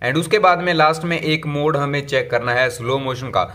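A man's voice: a little high, moderate pace, sharp tone. A man giving a casual tech review walkthrough of a phone, chatty and mixing English terms into his Hindi.

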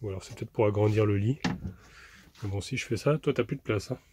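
A man's voice: lowish voice, fast, darkish tone, slight background noise. Chatty man explaining a van fix. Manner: chatty, explains step by step with many restarts. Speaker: vlogger. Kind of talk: casual vlog narration. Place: inside a camper van.

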